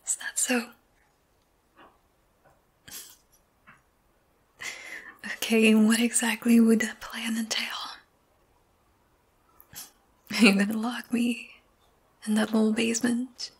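Woman doing a young vampire girl, lovesick and teasing, whispering soft and breathy, flat tone. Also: on the high side, normal speed. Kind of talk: whispered ASMR roleplay.

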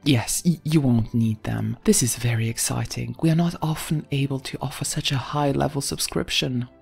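A bureaucratic consul speaks in an even, polite monotone of official calm, voiced by a woman reading a story. The voice is husky and sits a little back from the microphone. Faint music plays beneath the speech. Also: a bass voice, moderate pace, exaggerated voice. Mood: detached. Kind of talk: audio story acting.

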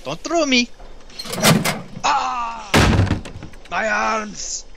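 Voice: mid-range voice, animated, very slow, vocal fry, very noisy, full character voice. An actor playing a pitiful, sneaky, whining villain, whimpering melodramatically in a comedic audio drama. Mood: pleading, pained.

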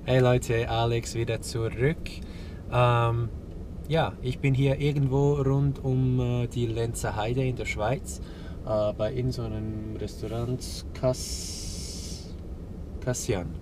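Young man vlogging from his car. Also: on the low side. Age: young adult. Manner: relaxed, many filler sounds, thinking aloud. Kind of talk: casual vlog talk to camera. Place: inside a car, parked in snow. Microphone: camera mounted on the dashboard. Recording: noisy car interior.

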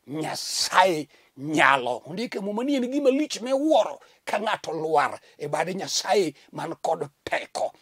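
Middle-aged male pastor from Kenya, fervent studio sermon, husky high voice, lapel mic. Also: dry recording. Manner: fervent preaching with sweeping hand gestures; repeats a thanks-to-God refrain. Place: indoor TV studio set at a desk.

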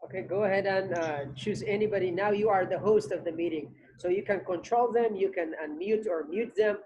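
A man's voice: high voice, brisk, darkish tone, dull audio. A young adult man teaching an English class over a video call from home, talking loosely with many fillers and repeats. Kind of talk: casual online class talk.

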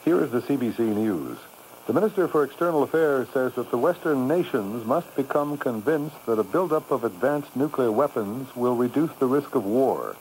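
A man reads a radio news bulletin. His voice sits at medium pitch. The old AM recording has faint noise. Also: expressive, normal speed, darkish tone. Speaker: newsreader. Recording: slightly noisy AM radio cassette.

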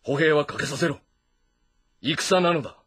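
Imperious husky warlord. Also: male voice, fairly high pitch, measured pace, full character voice. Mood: fierce, commanding. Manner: commanding, forceful. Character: imperious male warlord of ancient China, driving his army. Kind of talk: dramatic game voice acting.

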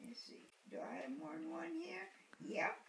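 A woman's voice: extremely high pitch, expressive, normal speed, gravelly, a bit bright. An elderly woman in her eighties reading a picture book aloud to a small child, playfully repeating words and adding asides. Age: 80s. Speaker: great-grandmother reading to a baby. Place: living room sofa, indoors. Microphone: handheld camera a short distance away. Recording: roomy home recording with background noise.

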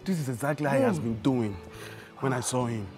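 An actor playing a young man who softly reassures his worried mother. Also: mid-range voice, sing-song, a little fast, lightly acted. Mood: soothing. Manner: soft, reassuring, repeating apologies.

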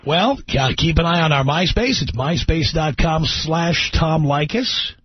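A man's voice: fairly high pitch, unhurried, husky, no room sound. A man hosting a call-in radio talk show, joking brashly with callers and reading out the phone number. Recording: muffled broadcast radio audio.

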